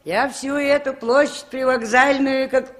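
A woman's voice: sits high, steady tone, a little slow. A woman playing a chatty older street sweeper in a 1960s radio drama, with a colloquial, rambling manner. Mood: unhurried, chatty. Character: chatty, folksy older female street sweeper, unhurried and helpful.

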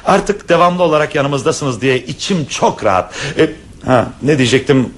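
A man's voice: mid-range voice, expressive, normal speed, lightly acted. An actor playing a hesitant middle-aged professor and father in a radio play, stammering with shyness. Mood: earnest. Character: flustered middle-aged male professor, shy suitor.

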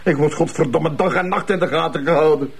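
A man's voice: fairly high pitch, brisk, creaky, background noise. An actor playing an agitated, fearful Flemish man pleading nervously in a television crime drama.

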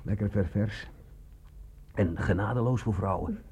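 An actor in a radio play voicing a cynical, boastful, sickly bandit with a hoarse, drawling, mocking delivery. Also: male voice, lowish voice, unhurried, darkish tone. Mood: swaggering. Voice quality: hoarse, gravelly.

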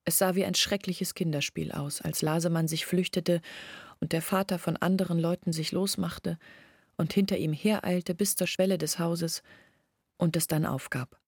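A troubled young village woman telling her story in a literary radio drama. Her voice sits a little low, with audible fry and a husky grain. The sound is very dry and close. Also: steady tone, moderate pace, no echo. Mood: grave recollection. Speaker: actress narrating in a literary radio play. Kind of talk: dramatic first-person storytelling in a radio play.

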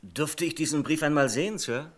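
An actor in a 1970s German radio play, playing a sceptical bank employee who is dryly polite but insistent. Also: male voice, on the high side, brisk, natural voice.

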